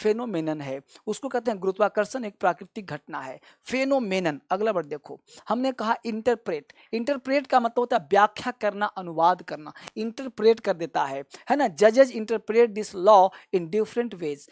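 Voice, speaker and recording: A man's voice: high voice, expressive, normal speed, hardly any pauses, dry recording, studio quality. A male English vocabulary teacher who gives a classroom-style lesson, repeats each word and has his students say it after him, and calls them his children. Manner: addresses viewers fondly as children.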